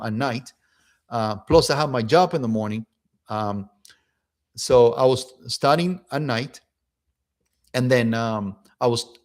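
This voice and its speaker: Cuban guy, spanish is his first language, pumped-up storytelling, lots of restarts, normal pitch. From Cuba. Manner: energetic, animated, repeats words and restarts. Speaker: motivational speaker. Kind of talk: personal storytelling to camera.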